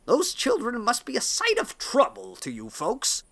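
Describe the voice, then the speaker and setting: A man's voice: very high-pitched, huge pitch swings, unhurried, creaky, a bit bright, heavily acted. A man playing a grumbling, folksy older farmer neighbour in an audiobook drama.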